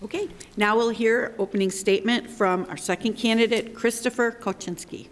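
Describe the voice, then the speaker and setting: A woman's voice: fairly high pitch, steady tone, normal speed. Distant woman moderator in a hall. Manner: brief, announcing. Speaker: forum moderator. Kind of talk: formal introductions.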